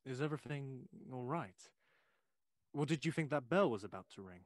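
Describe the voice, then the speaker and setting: A man playing a curious, courteous Victorian gentleman in an audio play, speaking in a polite, formal, inquisitive way. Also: mid-range voice, lively intonation, normal speed, heavy background noise, lightly acted. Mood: concerned.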